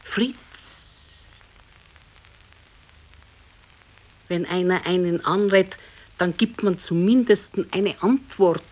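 An actress playing an ageing, plain-spoken wife in a naturalistic radio play, with a Bavarian accent and a homely, matter-of-fact manner. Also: female voice, mid-range voice, a little mellow, poor audio. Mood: nagging, scolding. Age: older. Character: plain-spoken ageing wife, former servant girl, nagging. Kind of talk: naturalistic radio drama acting.

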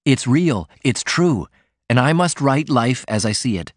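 A man's voice: medium pitch, lively intonation, a little slow, no echo, clean studio sound, pitched above the performer's own voice. A man reading aloud, voicing an earnest, stubborn young male writer who speaks with fervent emphasis. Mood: insistent.

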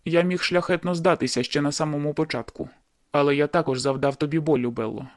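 A man's voice: fairly high pitch, fast, no echo, clean studio sound, natural voice. A man voicing a hurt, bitter young man in an audiobook, who speaks bluntly and wearily. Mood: resolute. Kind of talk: audiobook dialogue.

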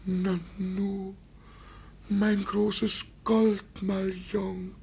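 A man's voice: high voice, little pitch movement, very slow, gravelly, a little mellow, breathy edge, exaggerated voice. An actor playing an old man who believes he is an emperor, speaking with solemn, tremulous grandeur in a vintage radio drama. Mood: proud, dreamy. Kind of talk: 1950 German radio drama acting. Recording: noisy vintage 1950 radio recording.

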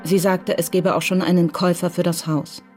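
A woman narrating a radio crime drama in a calm, measured way. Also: on the low side, faint music behind.

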